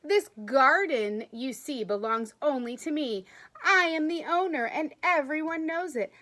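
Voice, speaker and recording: A woman's voice: sits high, lively intonation, a little slow, pushed and bright, pitched above the performer's own voice, heavily acted. A woman voicing a proud, possessive gardener who boasts in rhyme that the garden is his. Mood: boastful. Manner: boastful, insistent.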